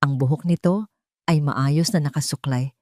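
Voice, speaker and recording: A woman's voice: deep voice, normal speed, a little mellow, no echo, studio quality. A woman with a Filipino accent narrating a dramatic scripted audio story.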